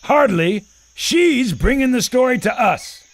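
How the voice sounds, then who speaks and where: Casting: male actor as cocky pulp adventurer-filmmaker, high and swooping delivery, old-time slang. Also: lively intonation, measured pace, exaggerated voice. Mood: breezy, confident. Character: brash adventurer filmmaker and war veteran pilot. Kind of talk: pulp adventure audio drama acting.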